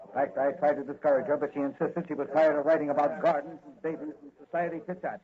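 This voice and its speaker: An actor playing a gruff, worried newspaper editor, urgent and bossy, in 1940s radio style. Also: male voice, on the high side, fairly even intonation, quick pace, natural voice. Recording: dull old radio recording.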